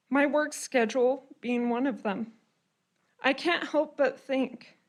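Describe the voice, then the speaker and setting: A young woman giving public comment at a city hearing, reading a prepared statement in an earnest, measured, formal way. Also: sits high.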